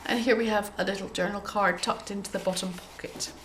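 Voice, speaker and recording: A woman with a cold, sounding a bit croaky, chatting gently through a show-and-tell of her handmade journal with frequent ums and throat clearing. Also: medium pitch, steady tone, a little fast, slight background noise. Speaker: craft journal maker showing her work.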